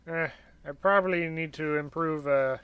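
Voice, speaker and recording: A man's voice: fairly high pitch, moderate pace, clean tone, dry recording, narrow, tinny audio. A man giving casual commentary while playing a video game, in hesitant, wry asides. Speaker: gaming streamer.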